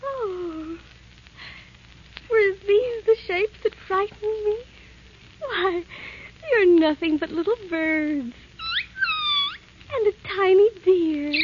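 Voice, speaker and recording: A woman voicing a sweet, timid young princess in an old animated film, gentle and dainty. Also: very high-pitched, background noise, heavily acted. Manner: gentle, dainty, sing-song. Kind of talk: 1930s animated film acting. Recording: dull vintage film soundtrack with music.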